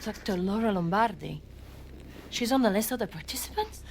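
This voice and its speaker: A woman's voice: medium pitch, animated, fairly quick, darkish tone, slight background noise, close to an everyday voice. An actress playing a determined, direct woman ally in a video game adventure, speaking earnestly. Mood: concerned. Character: determined woman ally searching for her sister. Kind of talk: video game cutscene acting.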